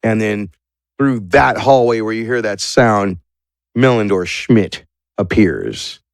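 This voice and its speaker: A man's voice: fairly low pitch, lively intonation, takes it slowly, creaky, dry recording, studio quality. A man narrating a tabletop roleplaying game as game master in a casual, playful, improvised way.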